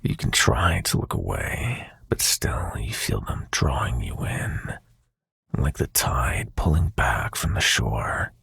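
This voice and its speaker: A man playing a seductive, menacing prince of nightmares in an intimate ASMR roleplay, speaking in a breathy, silky, commanding half-whisper. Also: rumbling low, big pitch swings, fairly bright tone, dry recording, clean studio sound, full character voice. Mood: hypnotic, coaxing. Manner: slow, silky, commanding, taunting.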